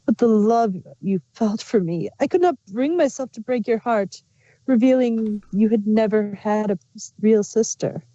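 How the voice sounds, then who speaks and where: A woman's voice: fairly high pitch, normal speed, mellow, close to an everyday voice. A woman playing a sly, wistful changeling queen villain in a fan fiction reading. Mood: smug, taunting. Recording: muffled, roomy home recording.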